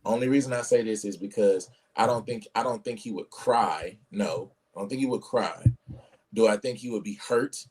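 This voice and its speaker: A man with a low, mellow voice speaks on a podcast panel. He is measured and lays out his points at length. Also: breathy edge. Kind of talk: casual discussion.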